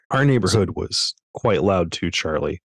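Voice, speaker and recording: Low-voiced man, dry close mic, excitable talker who restarts sentences, podcast co-host. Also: unhurried, slightly rough, no room sound. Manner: animated, stumbling restarts. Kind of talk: casual chat.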